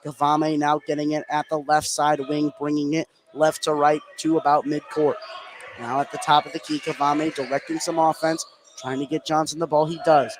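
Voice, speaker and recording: A man's voice: fairly high pitch, level tone, moderate pace, background noise. A man doing live play-by-play commentary on a basketball game. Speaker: play-by-play sports announcer. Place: indoor high school basketball game.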